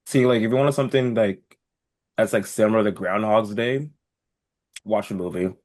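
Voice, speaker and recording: Lowish-voiced man in casual podcast chat. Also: moderate pace, a little mellow, dry recording.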